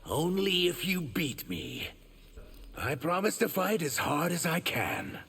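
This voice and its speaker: A man's voice: a little high, wildly swooping pitch, takes it slowly, pushed and bright, breathy edge, exaggerated voice. A man voicing an earnest young shapeshifting reptilian warrior in human form for a video game. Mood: wry, sincere. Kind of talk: video game character dialogue.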